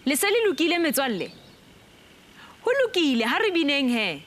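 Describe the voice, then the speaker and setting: A woman's voice: high voice, huge pitch swings, brisk, fairly bright tone, exaggerated voice. A woman playing a cheerful, encouraging friend to young children, presenting in simple, upbeat phrases. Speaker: children's show presenter character.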